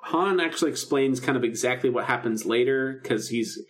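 A man's voice: mid-range voice, a little fast, fairly bright tone. A man co-hosting a podcast, recapping a story in casual, enthusiastic fan talk. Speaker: podcast co-host. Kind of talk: casual chat, recapping a radio drama.

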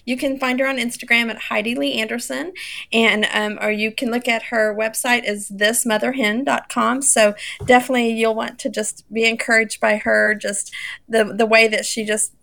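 A woman's voice: on the high side, little pitch movement, moderate pace, creaky. Chatty woman podcast co-host. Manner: warm, gushing, hesitant filler words. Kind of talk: casual podcast chat.

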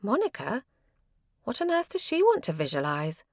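A woman's voice: mid-range voice, wildly swooping pitch, moderate pace, no echo. A woman voicing a skeptical, grumbling dieter with a dry, sarcastic manner in storybook dialogue.

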